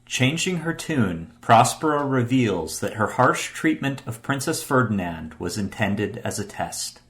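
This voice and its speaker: A man's voice: mid-range voice, sing-song, unhurried, fairly bright tone. A man reading scripted narration as the narrator of a radio play.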